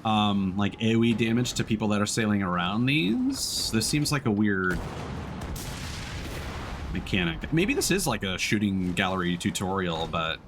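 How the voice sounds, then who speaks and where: Low-voiced man, lively game streamer. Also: normal speed, noisy background. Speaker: streamer playing a video game. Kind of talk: casual let's-play commentary.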